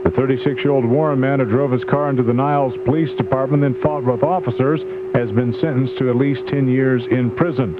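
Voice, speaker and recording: A man's voice: mid-range voice, fairly even intonation, fairly quick. A man reading a scripted morning news bulletin as a radio newsreader. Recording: tinny AM radio with clear hum.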